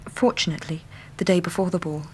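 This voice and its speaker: An English actress playing an earnest, talkative young Victorian typist in a radio drama, chatty and plain-spoken. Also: female voice, fairly low pitch, steady tone, quick pace, a little mellow, slight background noise, lightly acted.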